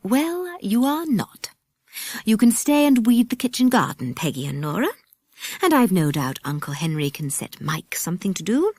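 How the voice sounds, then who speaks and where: A British woman voicing a cruel, strict aunt in a children's storybook, sharp, bossy and scolding. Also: mid-range voice, sing-song, moderate pace, slightly rough, no echo, pitched above the performer's own voice. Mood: stern, scolding. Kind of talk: children's storybook character reading.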